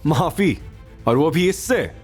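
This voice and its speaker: A male narrator acts out a fierce young audio drama hero, protective and cocky. His pitch moves around a lot and music sits underneath. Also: animated, slow, slightly rough, exaggerated voice. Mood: scornful. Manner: threatening, cocky.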